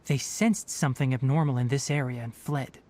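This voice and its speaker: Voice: medium pitch, fairly quick, warm tone, natural voice. An actor voicing a composed, clinical young scholar in a video game, speaking in a precise, formal, lecturing way. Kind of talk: scripted video game dialogue.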